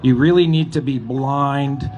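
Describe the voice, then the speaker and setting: A middle-aged or older man with a Canadian accent gives an earnest, deliberate, emphatic public speech at a live event. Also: medium pitch, steady tone, lo-fi sound. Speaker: retired police officer speaking at a rally. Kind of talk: public speech, partly read from a letter. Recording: live event with background noise.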